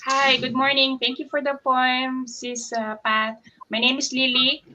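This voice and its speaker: A woman's voice: sits high, level tone, a little slow, bright, forceful tone. A woman from the Philippines giving a polite self-introduction on an online call. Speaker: guest on a group call.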